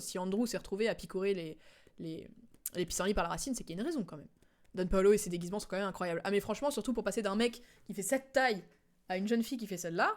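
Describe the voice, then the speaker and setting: Chatty woman streamer, mid-pitched voice. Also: a little mellow. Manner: chatty, informal, halting as she recalls. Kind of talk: casual game stream commentary, recapping story.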